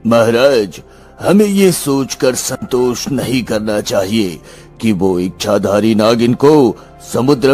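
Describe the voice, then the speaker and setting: A wise old sage, played by a man in a dubbed cartoon tale. He speaks very slowly in a grave, measured voice of middle pitch. Faint music runs behind him. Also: darkish tone, natural voice. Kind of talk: cartoon story dub.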